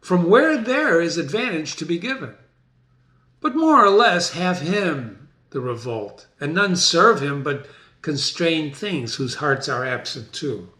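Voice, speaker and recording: Casting: male reader as resolute princely commander for classical stage drama, stately, high-set lively delivery. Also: takes it slowly, echoey room, full character voice. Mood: confident. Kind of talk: dramatic stage reading of Shakespeare.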